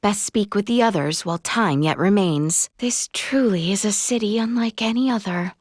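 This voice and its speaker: A woman voicing an earnest, dutiful young royal heroine in a video game, speaking in formal, archaic, measured phrases. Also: mid-range voice, dry recording, studio quality, natural voice. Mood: solemn, resolute. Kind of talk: video game character voice acting.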